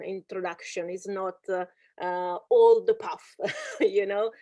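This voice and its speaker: A woman hosts over a video call and speaks slowly, feeling her way through English with frequent hesitations. The sound is dry and close, with her pitch in a middle range. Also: a little mellow, dry recording. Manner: hesitant, searching for words, many fillers. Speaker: webinar host and interpreter. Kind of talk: casual video-call presentation.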